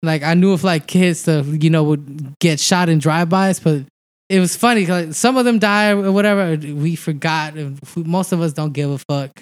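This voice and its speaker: A man's voice: on the high side, steady tone, moderate pace, no room sound, studio quality. A young man from El Salvador, a stand-up comic, talking loosely as a podcast guest, swearing and laughing a lot. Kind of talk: casual podcast chat.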